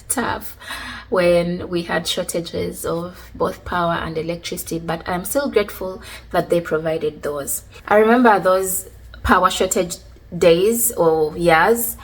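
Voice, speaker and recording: A woman, casually telling stories from her childhood in a chatty, relaxed way. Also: lowish voice, poor audio. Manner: chatty, relaxed, many fillers like "like" and "you know". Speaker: vlogger. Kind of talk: casual storytelling about childhood.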